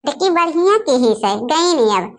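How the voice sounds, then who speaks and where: A woman voicing grumbling, gossipy village sisters-in-law in a cartoon, speaking with an Awadhi accent and a drawn-out delivery. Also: high voice, big pitch swings. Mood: sly, gossipy. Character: scheming, complaining village women, sisters-in-law in family squabble. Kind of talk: cartoon dialogue in rural dialect.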